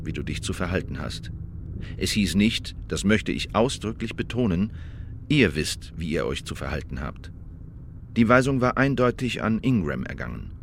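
A male actor in a radio play as an agent giving a deliberate, precise, emphatic witness report in the first person. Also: lowish voice, a little hiss or hum. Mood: precise, guarded.

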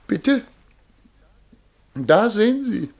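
Husky, flustered, pompous petty official. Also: male voice, high-pitched, big pitch swings, full character voice. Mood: desperate, affronted. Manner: pompous, halting, pleading. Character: flustered, indignant, self-important male petty official. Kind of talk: German radio play acting.

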